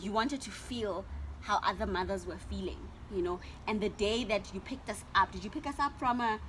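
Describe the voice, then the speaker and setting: A woman's voice: mid-range voice, a little fast, a bit bright, rough recording. A young woman interviewing her mother, asking questions in a hesitant way full of fillers. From Southern Africa. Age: young adult. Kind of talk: casual family interview. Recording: faint background noise.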